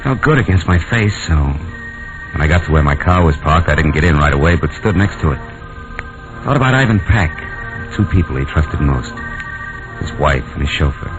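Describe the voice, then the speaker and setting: A world-weary American private eye, speaks in a very low register with terse, deadpan toughness. It is old radio crime drama, muffled in sound, with prominent music. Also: male voice. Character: hard-boiled male private detective, wry and world-weary. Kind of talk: 1940s radio detective drama, first-person narration and dialogue.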